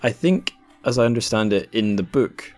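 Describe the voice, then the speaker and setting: A man's voice: mid-range voice, lively intonation, moderate pace, a little mellow, soft background music. A man hosting a podcast, chatting casually and telling anecdotes. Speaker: podcast host.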